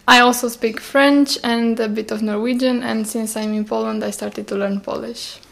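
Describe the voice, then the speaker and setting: A woman's voice: on the high side, fairly even intonation, normal speed, gravelly. A young woman from Romania, chatting casually on a podcast, a little hesitant.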